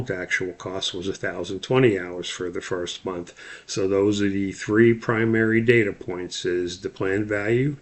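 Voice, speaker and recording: Low-pitched, monotone male instructor. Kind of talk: slide lecture, explanatory. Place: voice-over recorded over slides.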